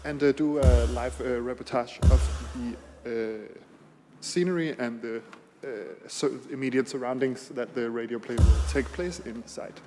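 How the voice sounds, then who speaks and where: A man giving an improvised radio reportage on location, halting and pausing to search for words. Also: mid-range voice, steady tone. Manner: halting, with long searching pauses. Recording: long echo, faint music.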